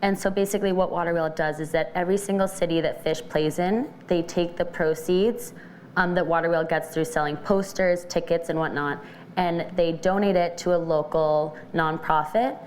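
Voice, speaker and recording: A young woman in her twenties talks as a studio guest, her voice on the low side for a woman. She sounds upbeat and chatty, saying "basically" and "like" often, with a faint hiss behind her. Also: fairly even intonation, moderate pace, a little mellow. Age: 20s. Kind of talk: casual studio interview.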